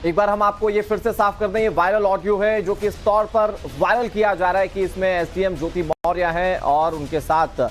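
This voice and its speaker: A man's voice: high voice, fairly even intonation, moderate pace, few pauses. A man presenting live television news as an anchor, with music behind him.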